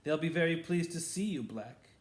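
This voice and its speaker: A man's voice: on the high side, lively intonation, normal speed, voice pushed up, close to an everyday voice. A man voicing a cold, spiteful male wizard professor who talks in a silky, sneering way. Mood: menacing. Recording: roomy echo.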